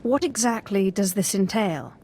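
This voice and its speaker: A cool, self-possessed dark-side initiate, voiced by an actor for a video game. The delivery is formal and controlled, with faint background noise. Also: mid-range voice, animated, moderate pace, lightly acted. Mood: composed, deferential. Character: cool, composed young Sith initiate.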